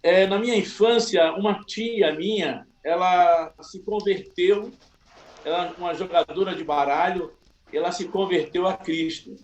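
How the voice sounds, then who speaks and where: A man from Salvador, a pastor giving a slow, humble personal testimony. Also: sits high, a little mellow, echoey room. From Salvador, Bahia, Brazil.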